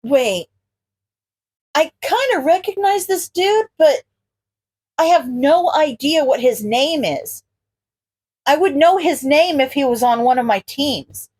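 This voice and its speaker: A woman's voice: high voice, animated, takes it slowly, pauses often, darkish tone. A woman voicing an easily flustered, apologetic man in a story, earnest and given to exclaiming and cursing. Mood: puzzled.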